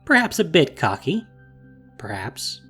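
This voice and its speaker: A man narrating a fantasy audio drama with expressive storytelling. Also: a little high, unhurried, breathy edge, faint music behind.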